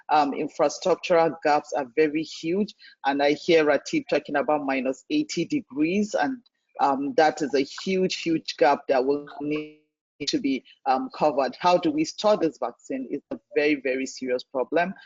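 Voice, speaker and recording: Husky-voiced man moderating a panel. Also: fairly high pitch, darkish tone, no room sound. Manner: measured, frequent "um" fillers. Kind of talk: panel discussion remarks. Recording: dry, muffled online call audio.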